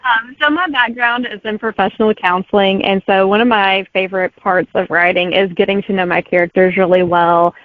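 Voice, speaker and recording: A woman's voice: mid-range voice, fairly even intonation, fairly quick, hardly any pauses, dry recording. A woman in her thirties speaks as an author guest, friendly and giggly, with lots of "um" and "like". Her voice comes through a tinny, phone-like line. The sound is dry and close. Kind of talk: casual interview answers.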